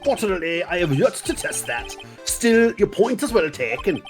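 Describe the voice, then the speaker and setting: Pompous old judge guy somebody acting him out, formal, pitch all over, music behind. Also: big pitch swings, normal speed, natural voice. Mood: considering. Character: pompous old male courtroom judge with beard. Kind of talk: live fan dub of courtroom game.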